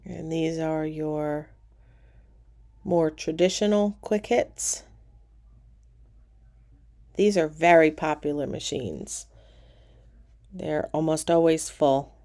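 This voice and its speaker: Slow, gravelly-voiced woman giving a tour. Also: low voice. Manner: relaxed, pointing things out as she walks. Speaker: casino tour vlogger. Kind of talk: casual walking tour narration. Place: cruise ship casino, indoors.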